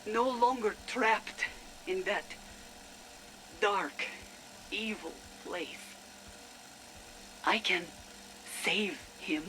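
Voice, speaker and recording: A woman playing a fervent, secretive zealot recording a hushed, intense personal log for a video game. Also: fairly low pitch, slight background noise, heavily acted, rough recording. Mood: fervent menace. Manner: slow, hushed, intense, deliberate. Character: fervent, secretive female zealot awaiting her father's vengeance.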